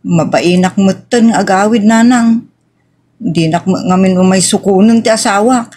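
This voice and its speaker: A woman's voice: mid-range voice, moderate pace, warm tone, lightly acted. A woman playing an aggrieved, stubborn young wife in a family audio drama, insistent and plaintive. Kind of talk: Ilocano family radio-style drama acting.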